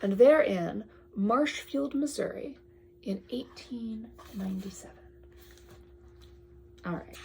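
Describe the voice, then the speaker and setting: A woman reads a picture book aloud to a young child in drawn-out phrases, often dropping to a hushed tone and adding chatty asides. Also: on the high side, creaky, a little hiss or hum. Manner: addresses the child with questions and pointers. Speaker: storyteller reading to a young child.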